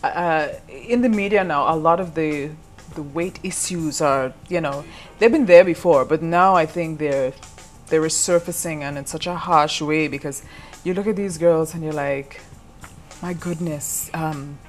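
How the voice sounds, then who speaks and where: A woman hosting a casual interview, asking quick, enthusiastic questions, agreeing often and laughing. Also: sits low, soft background music. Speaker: interview host.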